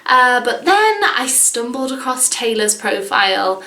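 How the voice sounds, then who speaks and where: A woman's voice: fairly high pitch, very animated, a little slow, sharp tone, roomy sound. A woman, chatting casually in a vlog with lots of fillers and "like"s. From Wales. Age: 20s. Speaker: vlogger. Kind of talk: casual chat.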